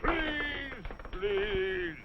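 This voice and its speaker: A man voicing a pompous, bossy Martian dictator in a 1950s animated cartoon, commanding and self-important. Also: high voice, very slow, full character voice. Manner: commanding, self-important, stumbling into false politeness. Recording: dull, noisy 1950s film soundtrack.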